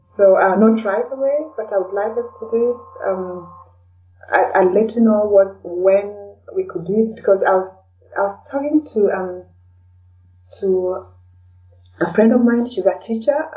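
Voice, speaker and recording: A woman with a soft-edged, mellow tone, recorded dully and at some distance from the microphone. She talks as a guest in a casual interview, feeling out her thoughts as she goes and often tacking on "you know". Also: mid-range voice, unhurried. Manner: hesitant, thinking aloud, often adds "you know". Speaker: podcast guest, blogger and podcaster. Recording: dull, lo-fi, distant from the mic.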